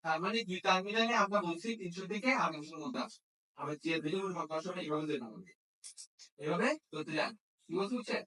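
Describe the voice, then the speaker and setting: A man's voice: fairly high pitch, fast talker, bright, forceful tone, a little hiss or hum. A man teaching a hands-on repair class, often checking understanding with questions. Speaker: phone repair instructor. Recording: echoey room, distant microphone.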